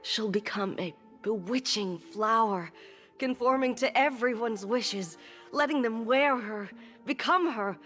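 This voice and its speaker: Female quack doctor, video game, slightly high pitch, smug, theatrical, coolly taunting. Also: measured pace, fairly bright tone, soft background music. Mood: grand pronouncement. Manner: pronouncing, theatrical.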